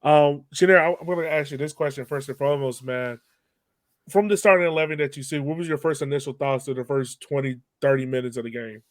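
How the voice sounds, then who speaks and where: A man's voice: fairly high pitch, quick pace, no echo. Casual man hosting a sports podcast, dry sound. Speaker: podcast host.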